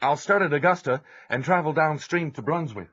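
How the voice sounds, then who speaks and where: An actor playing an earnest, devout young preacher in an audio drama, with some narration. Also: male voice, a little high, brisk, a bit bright. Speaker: narrator and lead character. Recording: dull lo-fi audio with music.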